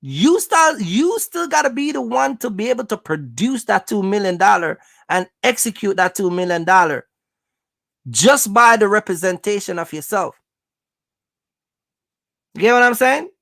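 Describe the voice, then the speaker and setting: This is a host with a noticeably creaky voice, recorded dry with very little room sound. The delivery is casual, motivational advice straight to viewers. Points are stressed and repeated, with regular check-ins that the listener follows. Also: mid-range voice, expressive, normal speed, a bit bright, dry recording. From Jamaica. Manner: emphatic, repetitive, checks in with listeners. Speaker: host, trucking business coach.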